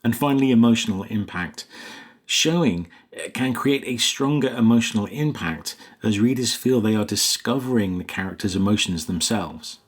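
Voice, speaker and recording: A man narrating a scripted lesson as a writing coach, in a measured, explanatory way. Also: fairly low pitch.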